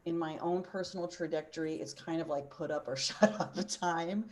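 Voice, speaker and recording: A woman's voice: sits low, fairly even intonation, normal speed, darkish tone. A woman in her forties, an opera singer and teacher, speaking passionately and earnestly on a panel over a video call. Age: 40s. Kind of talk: panel discussion.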